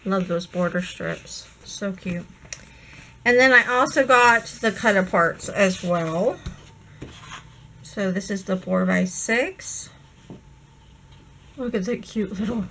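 A woman's voice: medium pitch, sharp tone, slight background noise, rough recording. A woman losing her voice, chatting and gushing over craft supplies in a casual haul video at her desk. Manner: gushing, chatty, many ums. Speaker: crafting vlogger.

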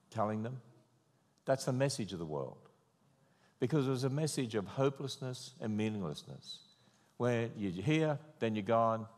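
An older man with an Australian accent, telling a wry story and preaching at a church conference from a podium. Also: lowish voice. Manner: wry, animated storyteller, mock-quoting others in raised voice. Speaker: conference speaker. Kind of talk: sermon with storytelling. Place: large church auditorium. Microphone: podium microphone. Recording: long hall reverb.

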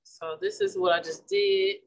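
A woman's voice: mid-range voice, measured pace, low-quality recording. Chatty Midwestern woman teaching a craft. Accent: Midwestern American. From St. Louis, Missouri. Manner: chatty, relaxed, restarts often while working. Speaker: florist and class instructor. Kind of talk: casual demonstration while painting. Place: indoor flower shop worktable.

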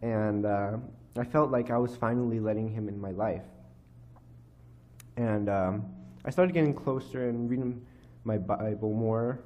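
A teenage boy giving a personal testimony at a church lectern, speaking haltingly with many fillers and restarts. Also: on the low side, fairly even intonation, dull audio. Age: teens. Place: church hall, indoors. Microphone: microphone on a lectern stand.

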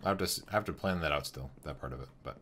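Young male streamer, very low voice turning hoarse, casual think-aloud commentary at a desk mic, light keyboard noise. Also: rapid-fire, a bit bright. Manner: thinking aloud while building, greeting chat viewers. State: losing his voice. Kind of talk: live gameplay commentary.

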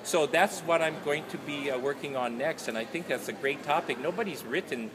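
A man, talking informally as an author and telling stories to an audience in an animated, colloquial way. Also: a little high, brisk. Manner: animated, gesturing, colloquial storyteller. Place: large indoor festival hall, noise behind. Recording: noisy large hall, ambient background noise.

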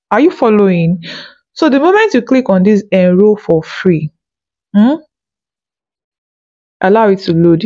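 Female tutorial instructor, mellow and patient, mid-range pitch, slightly dull recording. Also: dry recording. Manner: patient instructing with frequent comprehension checks. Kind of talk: step-by-step screen tutorial narration.

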